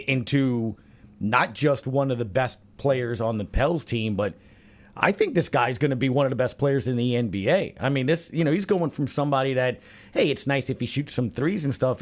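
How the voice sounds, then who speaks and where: An American man hosting a sports podcast, chatting casually and thinking aloud in a loose, rambling way. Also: mid-range voice. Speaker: podcast host.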